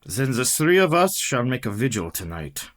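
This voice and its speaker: An actor playing a solemn, authoritative older professor and vampire hunter in a radio play, speaking formally and deliberately. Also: male voice, mid-range voice, very animated, lightly acted. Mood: urgent.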